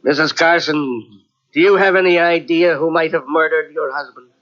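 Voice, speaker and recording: An actor playing a brisk police lieutenant with dry wisecracks, in a 1940s radio drama. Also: male voice, a little high, steady tone, fairly bright tone, natural voice. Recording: dull lo-fi old radio recording.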